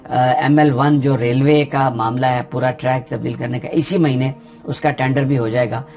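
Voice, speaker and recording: A man with a Pakistani Urdu accent explains political news in an unscripted, steady way, often pausing with "uh" as he thinks. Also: mid-range voice, gravelly. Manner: steady explanatory delivery with frequent hesitations.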